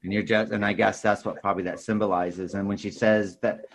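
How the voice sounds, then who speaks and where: A man's voice: on the low side, level tone, fairly quick, vocal fry. A man talking casually and ramblingly as a podcast host in a film discussion, often tacking on filler phrases. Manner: rambling, repeats "you know" and "sort of thing".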